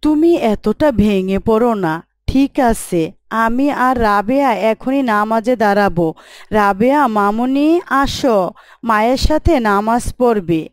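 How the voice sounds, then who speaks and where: A woman's voice: on the high side, animated, measured pace, smooth, no echo, clean studio sound. A woman playing a poor, devout, tender wife and mother in an Islamic story cartoon, earnest and imploring. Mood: comforting.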